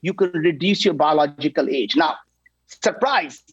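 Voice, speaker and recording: Energetic man in his sixties pitching. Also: moderate pace, slightly rough, dry recording, lo-fi sound. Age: 60s. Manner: energetic, emphatic, repeats "right?". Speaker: company founder guest. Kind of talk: enthusiastic pitch on a talk show.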